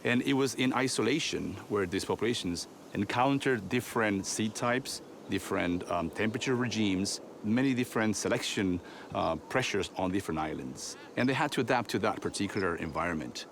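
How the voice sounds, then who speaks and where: Guy, biologist, deep voice, lots of um and uh, some faint noise around. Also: animated, fairly quick. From Ecuador. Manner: thoughtful, with frequent ums and uhs. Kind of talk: interview explanation.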